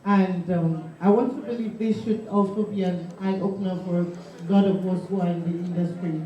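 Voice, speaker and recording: Nigerian female, unhurried emphatic tribute speaker, roomy and slightly dull recording. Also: on the low side, steady tone, mellow. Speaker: guest speaker from the film industry. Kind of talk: impromptu tribute speech. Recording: echoey hall, distant dull microphone.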